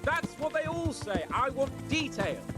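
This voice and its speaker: A man's voice: very high-pitched, sing-song, unhurried, loud music behind the voice, heavily acted. An actor playing an imperious, teasing young nobleman with a British accent in a video game cutscene. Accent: British English. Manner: imperious, mocking, aristocratic. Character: haughty, teasing young nobleman, not yet of age.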